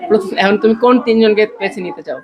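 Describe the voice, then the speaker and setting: A man's voice: sits high, very fast. A man with a Bangladeshi accent interviewing casually as a podcast host, repeating answers back and dropping in English phrases. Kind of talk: casual interview, questioning a child.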